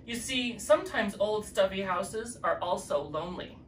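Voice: medium pitch, lively intonation, moderate pace, fairly bright tone. Drag queen storyteller in an echoey room. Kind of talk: children's story reading. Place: living room with fireplace, indoors. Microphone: distant camera mic. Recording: echoey room, distant mic, faint noise.

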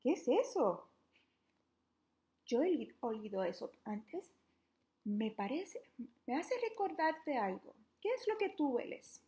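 A young adult woman reading a children's book aloud interactively, in an animated, playful, questioning manner. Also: on the high side, takes it slowly, long pauses. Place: small living room at home, indoors.

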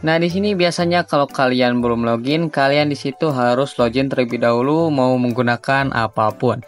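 A man's voice: mid-range voice, moderate pace. A young man narrating a tutorial in an informal, chatty, slangy way as a YouTube host. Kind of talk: casual screen-recording tutorial narration. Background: background music.

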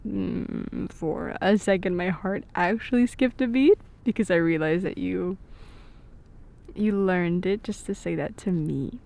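A woman playing an affectionate, playful young girlfriend in an intimate, soft-spoken ASMR roleplay, with hesitant pauses. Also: lowish voice, gravelly. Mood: tender, delighted. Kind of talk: intimate ASMR roleplay, scripted but natural.

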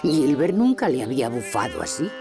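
A woman's voice: rumbling low, sing-song, a little fast, music in the background. A woman with a Castilian Spanish accent narrating a scripted children's story.

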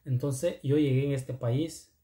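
A man from Oaxaca, a native Zapotec speaker with a Mexican second-language accent, giving casual motivational vlog talk in halting, repetitive, earnest phrases. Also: mid-range voice, fairly even intonation, warm tone, reverberant. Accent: Mexican, second-language Spanish with Zapotec influence. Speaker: video channel host.

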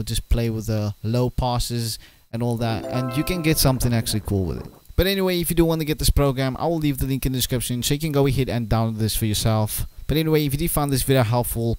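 A young adult man narrating a software tutorial as a video creator. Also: medium pitch, dry recording. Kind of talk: upbeat software tutorial voice-over.

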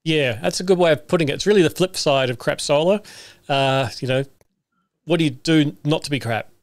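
A man's voice: fairly high pitch, fairly quick, no room sound. A man in his fifties with a New Zealand accent, hosting a casual online interview in an upbeat, chatty way from a home studio. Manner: upbeat, chatty, laughs often. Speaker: host. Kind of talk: casual video-call interview. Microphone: studio mic on a stand with headphones.

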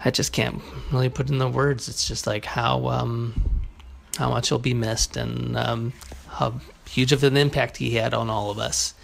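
A man leaving a casual, earnest listener message in a halting, hesitant way. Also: mid-range voice, flat delivery, gravelly. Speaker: listener calling in with feedback. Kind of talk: casual spoken voicemail.

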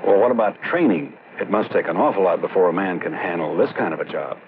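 A man's voice: sits low, fast, lightly acted. A man playing a curious traveler in a 1960s educational film, asking questions conversationally. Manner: inquisitive, conversational. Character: curious male traveler learning about air traffic control. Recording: muffled old film soundtrack, faint hiss.

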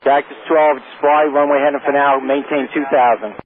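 A man's voice: a little high, fairly even intonation, moderate pace, narrow, tinny audio. A man working as an air traffic controller, giving clipped, businesslike instructions over radio. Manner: procedural, businesslike.

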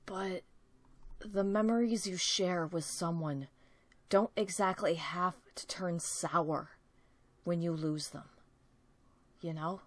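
A woman voicing a cocky, energetic young male cartoon hedgehog, brash, teasing and earnest, in an amateur comic dub. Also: fairly low pitch, takes it slowly, pauses often, dry recording. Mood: soft, consoling. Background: background music.

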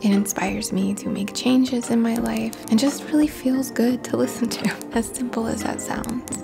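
Whispering ASMR woman with creaky edges. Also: fairly high pitch. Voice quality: breathy whisper. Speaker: video host reading a sponsor message. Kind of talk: whispered ASMR sponsor read. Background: music.

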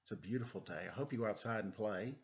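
A middle-aged man with a playful, animated, self-deprecating manner, doing a children's read-aloud with casual, joking asides into a webcam at home. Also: on the low side, fast talker. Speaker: storytime reader. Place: indoors, room at home. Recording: dull webcam audio, noisy background, clicks.

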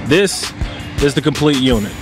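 A man's voice: mid-range voice, lively intonation, moderate pace, over music. A man hosting his own channel as a pitmaster, narrating an unboxing and review outdoors in a casual, upbeat way. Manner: upbeat and conversational, narrating each step. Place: outdoors in a yard or driveway.

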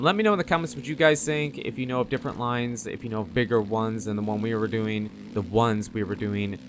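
A man giving casual, rambling off-the-cuff commentary as host of a gaming video, in a conversational way. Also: lowish voice. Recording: game engine noise behind the voice. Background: motocross bike engine sounds from game.

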